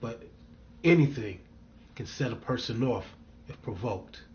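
A male actor playing a bitter, troubled middle-aged man with hidden darkness, in a brooding dramatic manner with deliberate pauses. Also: medium pitch, animated, a little mellow, highs cut off, slight background noise, close to an everyday voice. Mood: menacing, reflective. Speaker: actor performing a monologue and scene reel. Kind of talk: dramatic screen acting, monologue and scene.

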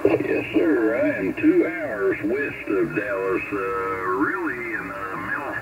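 A man's voice: fairly low pitch, wildly swooping pitch, slow pace. A man chatting in friendly, folksy radio lingo, heard through a CB radio transmission. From Texas, United States. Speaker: CB radio operator. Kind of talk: casual radio contact chat with call-sign procedure. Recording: very noisy, muffled CB radio reception. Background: loud radio static.

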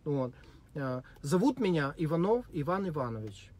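A man giving a deadpan, mock-serious spoken monologue with many hesitations and fillers. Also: on the high side, normal speed. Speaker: vlogger.

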